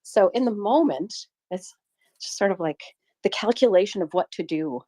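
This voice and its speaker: A woman's voice: medium pitch, lively intonation, moderate pace, warm tone. A middle-aged Canadian woman who runs a small shop, telling a personal story in an earnest, reflective way with frequent laughs. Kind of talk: casual chat on a live audio space.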